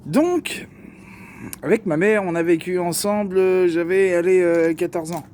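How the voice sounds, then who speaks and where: Slangy Parisian man, dark mellow voice. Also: high-pitched, a little slow, slight background noise. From Paris region. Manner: slangy, joking asides, many fillers. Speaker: vlogger. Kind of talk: casual storytelling to camera.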